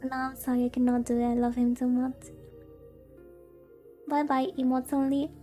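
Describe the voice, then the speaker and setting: A woman's voice: high voice, flat delivery, moderate pace, warm tone, soft background music. A woman streamer reading a visual novel aloud and chatting about it, with playful exclaimed asides. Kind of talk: livestream chat mixed with story reading.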